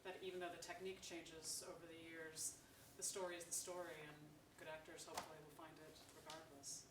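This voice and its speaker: A woman's voice: deep voice, little pitch movement, fairly quick. A woman from a theatre company talking casually in a panel discussion. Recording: echoey theatre, distant, noisy.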